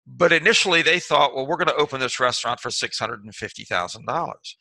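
A man's voice: medium pitch, lively intonation, fast talker, vocal fry, sharp tone, no echo, poor audio. A middle-aged man, a restaurant consultant and author, explaining in a rambling way full of fillers and restarts. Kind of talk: interview answer, casual explaining.

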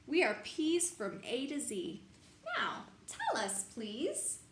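A young woman reads a bedtime story for children with very animated, swooping intonation. She takes her time, stressing words like a teacher. The room is noticeably echoey, recorded from a little way off. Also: high-pitched, slow. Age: young adult. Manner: expressive, sing-song, teacherly with emphasized words. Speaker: picture book reader. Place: small glassed-in sunroom. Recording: roomy echo, light background noise.

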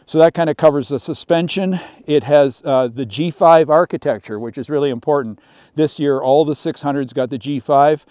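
A middle-aged man presents a snowmobile outdoors among snowy pines, explaining its features in a relaxed, conversational way full of small fillers. His voice is mellow and smooth, and it sounds dry and close, as if from a clip-on mic. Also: fairly high pitch, no echo. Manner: casual, explanatory, with frequent fillers. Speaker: snowmobile reviewer. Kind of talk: product walkaround presentation. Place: outdoors in snowy pine woods.

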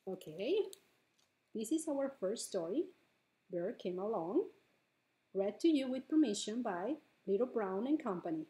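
A middle-aged woman hosting a bilingual storytime as a children's librarian, reading picture books aloud with deliberate phrasing for small children. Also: medium pitch, sing-song, warm tone, poor audio. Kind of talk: bilingual picture-book read-aloud for preschoolers. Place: living room at home, indoors.

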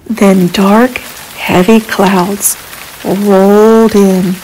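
A woman reading a children's picture book aloud in an expressive, dramatic way, drawing out the sound words. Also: mid-range voice, faint music behind, low-quality recording. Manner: slow, dramatic, drawn-out delivery of sound words.

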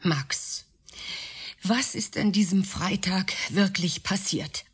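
A woman's voice: medium pitch, slow, breathy edge. An actress playing an anxious, insistent woman who doubts her lover, in a radio drama. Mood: worried, hushed. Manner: pleading, insistent, worried. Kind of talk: German radio drama acting.

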